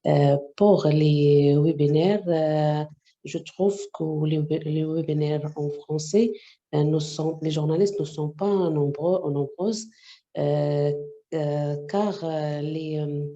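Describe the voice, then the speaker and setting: Casting: woman, first language Arabic, slow halting speech, gravelly dark tone, dull lo-fi call audio. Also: low-pitched. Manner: halting, many hesitations. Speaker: journalist, section editor. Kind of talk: webinar discussion. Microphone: video call.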